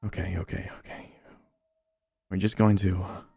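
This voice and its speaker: A man voicing a shocked, frantic father in a horror story, speaking in a tense, halting way. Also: low voice, little pitch movement, vocal fry, dark tone, husky, heavily acted.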